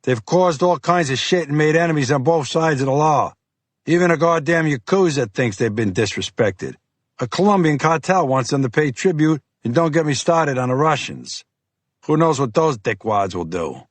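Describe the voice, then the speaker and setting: A man voicing a gruff, sarcastic, heavyset male FBI agent with a Boston accent, brusque and bossy. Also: on the high side, animated, moderate pace, no room sound, voice pushed up. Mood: exasperated.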